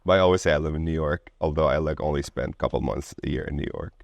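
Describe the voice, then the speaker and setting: A man's voice: extremely low pitch, fast, vocal fry, no room sound, lo-fi sound. A man from Lebanon speaking as an interview guest in a casual, rambling way, full of filler words like "like" and "you know".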